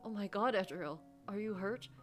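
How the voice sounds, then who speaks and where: A gentle, worried man as a woman plays him speaks in a story game with soft-hearted concern. He is apologetic and apt to stammer, and music plays underneath. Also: mid-range voice, normal speed, close to an everyday voice.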